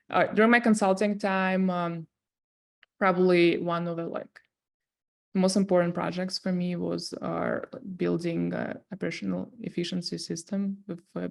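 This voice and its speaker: A woman's voice: on the low side, creaky, a little mellow. A woman with a strong Russian accent, a guest in an interview, telling her story in earnest with frequent fillers. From southern Russia. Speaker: guest, tech company CEO.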